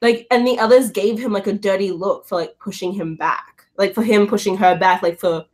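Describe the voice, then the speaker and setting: A woman's voice: mid-range voice, normal speed, a little mellow. A woman chatting casually and ramblingly about books in slangy, loose phrasing. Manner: filler-heavy, slangy "like" and "dude". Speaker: book discussion panelist. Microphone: video call.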